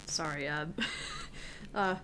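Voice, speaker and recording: A woman's voice: deep voice, drawn-out words, sharp tone, slight background noise. A woman co-hosting a gaming stream in casual chat. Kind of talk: casual chat during game play.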